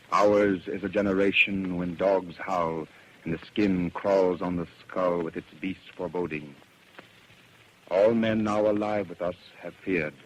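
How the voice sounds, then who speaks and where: An actor playing a grave, foreboding radio announcer in a vintage drama. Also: male voice, low-pitched. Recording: muffled, faintly noisy old radio recording.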